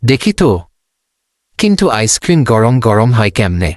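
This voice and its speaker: A man voicing a friendly, money-minded young boy in a children's cartoon, speaking in an animated way. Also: fairly low pitch, normal speed, no room sound, clean studio sound. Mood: chummy.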